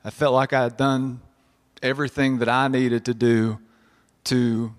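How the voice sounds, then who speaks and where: Husky man, church reverb. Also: medium pitch, little pitch movement. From southern West Virginia. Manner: halting, many restarts and fillers. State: husky from a cold. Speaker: doctor giving a church testimony. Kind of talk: personal testimony in church. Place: church sanctuary.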